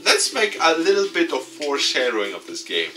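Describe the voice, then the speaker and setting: A man's voice: a little high, wildly swooping pitch, brisk, bright, forceful tone, recorded from a distance, soft background music. A man reading a visual novel aloud and commenting as he plays, as a gaming video host. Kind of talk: let's play, reading game text aloud.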